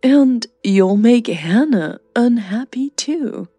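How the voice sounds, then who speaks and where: A woman's voice: a little high, expressive, slow pace, dark tone, no room sound, soft background music, pitched above the performer's own voice. A woman voicing a middle-aged mother in an audio drama, blunt yet caring and emotional.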